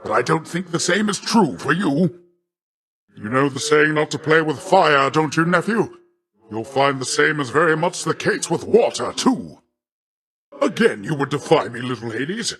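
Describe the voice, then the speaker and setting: A mighty, self-important god of the sea for a video game. His voice sits somewhat high for a male, and he speaks with hearty, pompous theatricality, like a boastful uncle addressing his nephew with grand formality and a short temper. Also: lively intonation, normal speed, fairly bright tone. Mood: offended, menacing.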